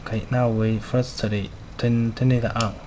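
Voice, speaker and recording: Male product demonstrator, non-native English, mid-pitched, clipped halting instructions, noisy amateur recording. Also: little pitch movement. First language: not a native English speaker. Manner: halting, simple clipped phrasing. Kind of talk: step-by-step spoken product demonstration.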